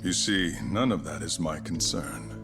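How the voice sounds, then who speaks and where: An actor in an English game dub playing a shrewd, unruffled senior official, speaking in a measured, formal, detached way. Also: male voice, deep voice. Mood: cool, calculating. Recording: voice over loud music.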